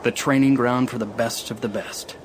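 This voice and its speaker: An actor playing a brisk, authoritative commando sergeant in a science fiction audio drama. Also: male voice, mid-range voice, steady tone, a little hiss or hum, natural voice. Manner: clipped, authoritative.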